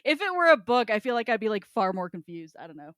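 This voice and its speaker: A woman's voice: mid-range voice, very animated, quick pace, fairly bright tone. A woman with a mid-range voice chats casually as a podcast co-host. She is irreverent and slangy, tossing in jokes as she goes. The sound is dry, with hardly any room echo.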